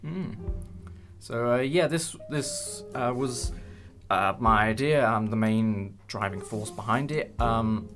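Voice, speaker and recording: A young man chatting casually in an interview, hesitant and rambling with many pauses. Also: mid-range voice, fairly bright tone. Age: young adult. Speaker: creator of a fan audio drama. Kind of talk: casual behind-the-scenes interview. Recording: light music bed under speech. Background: background music with guitar.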